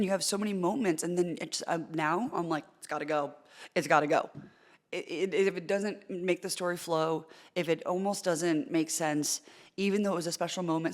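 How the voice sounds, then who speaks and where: This is a woman of thirty with a deep, low voice. She works as a sports reporter and chats openly in an interview. She talks in a lively way, full of likes and quick restarts. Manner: animated, quick asides with filler likes and repeated starts. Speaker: sports reporter and in-arena host. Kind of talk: casual interview conversation.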